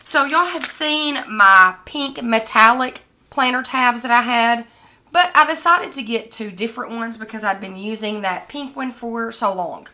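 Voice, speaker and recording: A young woman, hoarse and scratchy from a recent illness, chatting casually as she shows planner supplies to the camera at her desk. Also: medium pitch, pushed and bright. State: hoarse, recovering from lost voice. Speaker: planner vlogger. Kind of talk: casual product haul vlog. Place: indoors at a desk with lighting kit.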